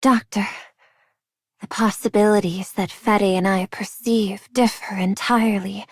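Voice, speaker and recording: A woman voicing a gentle, devoted, poetic young woman in a video game, soft and tender. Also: medium pitch, steady tone, a little slow, slightly rough, dry recording, clean studio sound.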